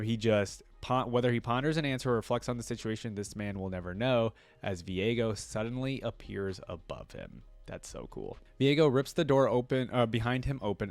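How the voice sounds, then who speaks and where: A man's voice: on the low side, no echo. A man hosting a reaction video, reading story text aloud and adding casual commentary.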